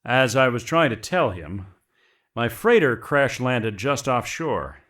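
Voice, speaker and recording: A man's voice: medium pitch, lively intonation, takes it slowly, fairly bright tone. A man playing a plainspoken shipwrecked mercenary in a space adventure audio drama. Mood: polite, uneasy. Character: male shipwrecked mercenary hunter, rugged and plainspoken, courteous.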